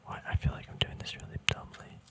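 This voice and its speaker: A man whispering casual ASMR to viewers in a breathy, unvoiced voice, rambling and thinking aloud without hurry, very close to the mic. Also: fast talker. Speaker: ASMR host. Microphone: mic very close to the mouth and nose.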